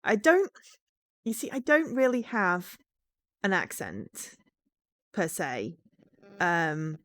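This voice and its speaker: A young woman from the East Midlands with a fairly neutral English accent, chatting with her live-stream viewers while she plays a game, laughing often and teasing back. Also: fairly low pitch. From England, East Midlands.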